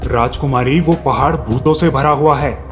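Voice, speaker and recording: A man's voice: medium pitch, normal speed, long reverb tail, soft background music, lightly acted. A man voicing a cautious, protective king and father in a storybook tale. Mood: forbidding, protective. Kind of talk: storybook dialogue.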